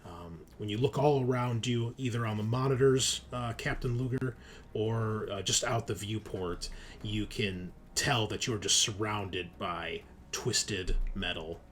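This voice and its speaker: A man improvising scene narration as game master of a tabletop roleplaying game, with halting, hesitant pauses. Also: fairly low pitch, fairly bright tone. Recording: noticeable background noise.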